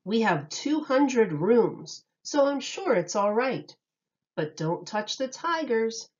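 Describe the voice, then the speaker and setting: A woman reads a children's rhyming story at an unhurried pace. Her pitch swings in a lively sing-song, with each phrase set out clearly for young listeners. The sound is slightly dull and lo-fi. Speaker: teacher reading a picture book aloud.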